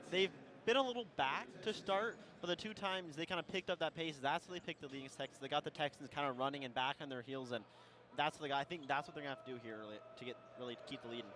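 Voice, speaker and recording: Fast-talking male color commentator. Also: on the high side, fairly bright tone. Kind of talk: conversational game analysis.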